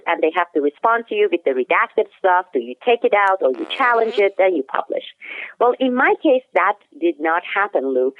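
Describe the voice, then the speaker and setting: A woman's voice: mid-range voice, no echo. Woman over a phone line. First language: Turkish. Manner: earnest, detailed, building emphasis on key points. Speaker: guest, former FBI language specialist. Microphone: telephone. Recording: dry tinny phone line.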